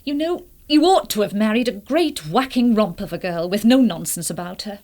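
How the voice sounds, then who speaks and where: An actress playing a high-strung, melodramatic wife in a vintage radio drama, speaking in breathless, halting repetitions. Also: female voice, animated, darkish tone, close to an everyday voice. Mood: teasing, catty.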